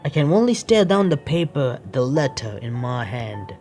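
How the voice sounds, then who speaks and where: A male streamer with reads a visual novel aloud at a medium pitch and adds his own commentary. He is halting, often restarting phrases, and faint music sits behind him. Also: big pitch swings, darkish tone. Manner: halting reading with stumbles and self-corrections.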